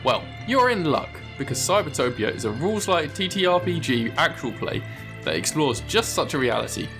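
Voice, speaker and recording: Animated man announcing over music. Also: a little high, moderate pace. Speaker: podcast promo announcer. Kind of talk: scripted promotional narration. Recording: music bed behind the voice.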